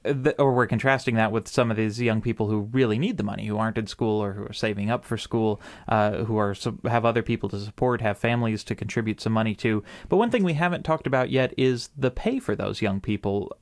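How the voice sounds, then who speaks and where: A man's voice: fairly low pitch, brisk, few pauses, no echo. A man hosting a live radio news special with listener calls. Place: radio studio.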